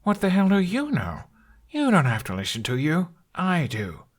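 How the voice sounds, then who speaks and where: A man's voice: sits high, huge pitch swings, moderate pace, pitched above the performer's own voice, heavily acted. A man voicing a sarcastic, stubborn young human who travels with a dragon, in a wry, defensive manner for an audiobook. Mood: indignant.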